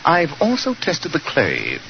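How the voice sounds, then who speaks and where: An actor playing a brisk, confident British detective with precise, authoritative diction in 1940s radio drama style. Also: male voice, a little high, wildly swooping pitch, gravelly, full character voice. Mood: analytical, assured. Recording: muffled, hissy 1940s radio recording.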